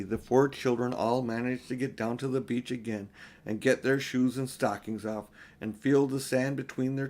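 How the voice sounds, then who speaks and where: An older man reads a children's story aloud in an expressive, unhurried, homely way, with informal asides. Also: mid-range voice. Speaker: audiobook reader.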